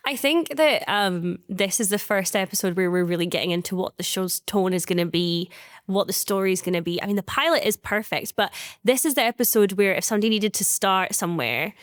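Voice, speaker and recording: A woman's voice: mid-range voice, a little fast, dry recording, clean studio sound. A woman co-hosting a TV recap podcast, chatty and giggly in casual talk. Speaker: podcast co-host.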